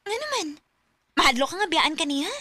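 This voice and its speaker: A woman's voice: sits high, big pitch swings, brisk, pushed and bright, full character voice. A woman playing a nosy, blunt female friend in a radio drama, with a Cebuano Visayan Filipino accent. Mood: blunt, warning.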